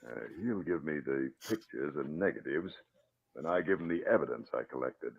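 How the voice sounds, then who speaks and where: Very deep, gravelly old commissioner. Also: male voice, lively intonation, moderate pace, heavily acted. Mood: resigned. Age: older. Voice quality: gruff, gravelly. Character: troubled older police commissioner, resigned and blunt. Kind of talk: 1940s radio acting. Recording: muffled, narrow-band old radio recording.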